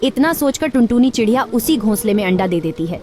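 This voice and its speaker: A woman narrating a children's cartoon story in a steady, even voice. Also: fairly high pitch, fast. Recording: narration over loud background music.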